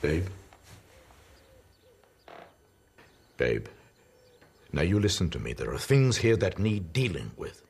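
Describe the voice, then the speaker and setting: Halting, anxious elderly comedian, swooping pitch. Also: male voice, sing-song, creaky, a little mellow, a little hiss or hum, full character voice. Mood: faltering, earnest. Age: older. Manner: halting, pleading, faltering. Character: elderly comedian, anxious and grieving at friend's deathbed. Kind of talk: radio-play style naturalistic drama.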